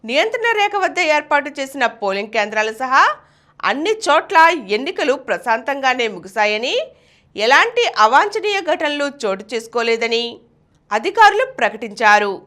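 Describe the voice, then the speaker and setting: A woman's voice: high-pitched, big pitch swings, moderate pace, sharp tone. A woman reads scripted news reports and headlines in a formal, announcing manner. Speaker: news reader.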